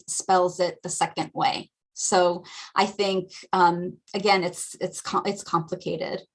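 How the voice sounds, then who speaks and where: A woman's voice: medium pitch, a bit bright. A woman with an American accent giving an academic lecture as a guest speaker at a community teach-in, explaining points thoughtfully with frequent ums, over a video call.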